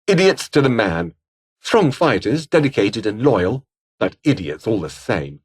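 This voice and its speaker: A bitter, scheming British aristocrat turned rebel officer for a video game. His fairly low voice swings widely in pitch as he talks in clipped, formal phrases. He sounds pompous and sneering throughout. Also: sing-song, unhurried, slightly rough, full character voice. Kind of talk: video game character dialogue.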